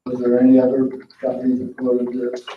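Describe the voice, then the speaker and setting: Flat-toned man, very echoey room. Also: mid-range voice, fairly quick, a little hiss or hum. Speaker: board member asking questions. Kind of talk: casual questioning at a meeting. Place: indoor municipal meeting room. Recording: very echoey room, distant dull microphone.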